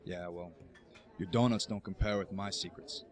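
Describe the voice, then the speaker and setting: A young actor playing a resentful, distrustful teenage schoolboy in a naturalistic web drama. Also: male voice, low-pitched, fairly even intonation, normal speed, natural voice. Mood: sullen, defiant.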